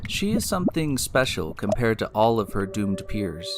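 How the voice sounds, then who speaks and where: A man hosting and narrating a scripted science story in a deliberate, explanatory way, speaking directly to the listener. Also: lowish voice, big pitch swings, very noisy. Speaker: podcast host and narrator. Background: music.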